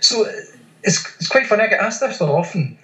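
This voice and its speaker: A Scottish man in his forties, a guest in a casual interview, talking with many pauses and fillers. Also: sits high, animated, fairly bright tone, roomy sound, muffled recording. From Scotland. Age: 40s. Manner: hesitant, many fillers and restarts. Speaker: guest, ultra runner.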